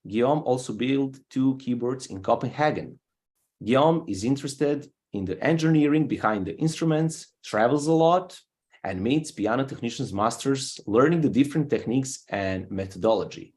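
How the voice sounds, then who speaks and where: Brief: young Serbian-accented man, guest host on a video call, friendly and easygoing, mid-pitched voice. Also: expressive, normal speed, a little mellow. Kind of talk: live online show hosting.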